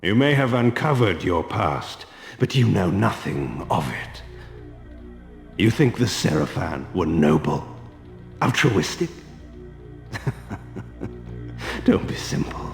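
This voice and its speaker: An actor playing an ancient, arrogant vampire lord in a video game, speaking in a theatrical, deliberate, cynical way. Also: male voice, low-pitched, very animated, vocal fry, exaggerated voice. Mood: scornful. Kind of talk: dramatic video game acting. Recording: echoey hall reverb over music.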